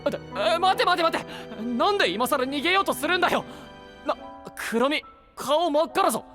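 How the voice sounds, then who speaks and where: Rough-talking but devoted young knight, anime audio drama, pitch moves around a lot, music underneath. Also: male voice, animated, fairly quick, pushed and bright, full character voice. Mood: flustered shouting. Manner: blunt, casual rough masculine speech. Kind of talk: anime-style voice drama acting.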